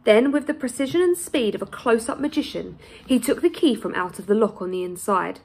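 A woman in her thirties narrates a children's story in a fairly high voice with a husky edge. She reads deliberately and expressively, pausing for drama as a primary teacher would. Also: expressive, darkish tone, poor audio. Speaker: primary school teacher reading aloud. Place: small plain room indoors.

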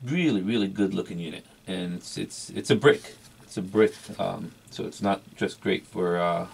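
A man's voice: low voice, moderate pace, vocal fry, darkish tone, slight background noise. A middle-aged man giving a casual, unscripted product review as a collector, rambling hesitantly and repeating words for emphasis. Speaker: vintage electronics collector and reviewer.

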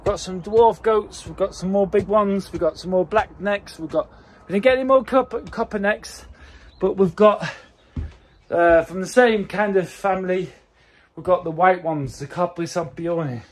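A man's voice: sits high, moderate pace. A man in his forties, a farm owner, chatting casually and rambling with restarts and fillers as he walks and films himself on a phone held at arm's length. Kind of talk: casual walk-and-talk vlog. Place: mountain farm, mostly outdoors, partly in a wooden kitchen.

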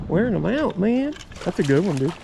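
A man chatting casually and excitedly outdoors while fishing, in a folksy, exclamatory way with mild swearing. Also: sits high, fairly quick, creaky. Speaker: fishing vlogger. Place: outdoors at a trout stream. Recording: noisy outdoor recording, water and ambient noise.

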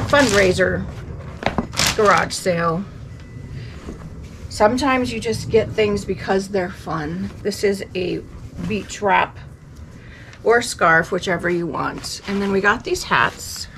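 A woman's voice: mid-range voice, gravelly. A middle-aged American woman chatting casually as a reseller showing her finds. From United States. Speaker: reseller and vlog host. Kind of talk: casual haul chat. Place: cluttered indoor workroom. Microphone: camera a few feet away. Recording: noisy room, clinking items handled.

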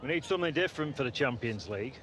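A man's voice: mid-range voice, expressive, racing, background noise, close to an everyday voice. Middle-aged football coach, acted by a man. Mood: firm, instructive. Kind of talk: video game cutscene dialogue.

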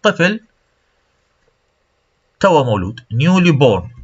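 A man's voice: on the high side, big pitch swings, moderate pace, breathy edge. A man with an Iraqi Arabic accent giving a recorded online lecture. He repeats key phrases and puts rhetorical questions to his students. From Iraq. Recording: dull lo-fi screen-recorded lecture audio.

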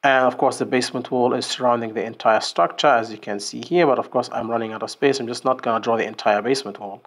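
A man's voice: mid-range voice, steady tone, fast, fairly bright tone. A man teaching an engineering lesson over a screen-share drawing, explaining step by step with fillers and restarts. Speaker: engineering instructor. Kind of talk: whiteboard tutorial. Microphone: screen recording voice-over.

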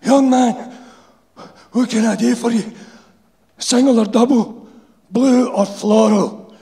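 A man's voice: extremely high pitch, steady tone, slow, dark tone, pitched above the performer's own voice, full character voice. A man voicing a wheezing, mumbling, irritable Glaswegian pipe insulator in a live story reading. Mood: gruff, obliging. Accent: Glaswegian Scottish. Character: wheezing, irritable pipe insulator, dying smoker selling goods. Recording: echoey theatre stage.

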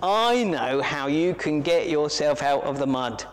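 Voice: medium pitch, animated, a little slow, natural voice. A storyteller voicing an eager, know-it-all male jungle animal giving instructions in a raised voice. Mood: triumphant. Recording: echoey hall reverb.

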